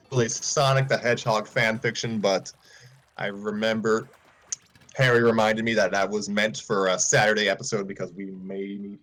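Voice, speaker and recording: A man with a fairly low voice hosts a casual livestream chat. He rambles, stops to fix his wording and drops chuckling asides. Faint music plays underneath. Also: fairly bright tone. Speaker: host.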